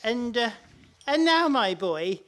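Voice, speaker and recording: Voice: medium pitch, big pitch swings, slow, fairly bright tone. An actor in a comic radio play playing a genial, timid, henpecked older father-in-law, hesitant and a little fussy, with old-fashioned politeness. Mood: confiding. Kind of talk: stage-comedy radio play acting.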